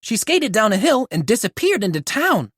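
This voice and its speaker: A woman narrating a children's storybook aloud in an animated read-aloud style. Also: on the high side, normal speed, husky, dry recording, clean studio sound. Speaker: storybook reader.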